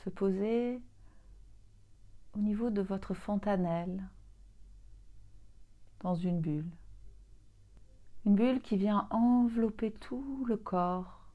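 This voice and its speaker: A woman leading a guided yoga nidra relaxation as a teacher, soothing and gently inviting, leaving long pauses between phrases. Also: mid-range voice, dull audio.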